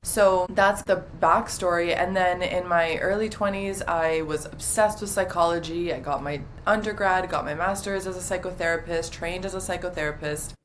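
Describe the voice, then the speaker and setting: A woman's voice: fairly low pitch, steady tone, normal speed, hardly any pauses, slight background noise. A young woman in her twenties, a relationship coach, talking casually and openly with many fillers as an interview guest. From half Dominican, half Italian, partly raised in the US. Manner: chatty, many "like" fillers, warm and open.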